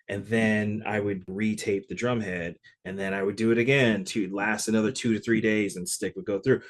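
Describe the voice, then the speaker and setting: Relaxed low-voiced man telling stories. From Connecticut, USA. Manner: relaxed, conversational, many "like" fillers. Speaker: guest. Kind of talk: casual interview storytelling.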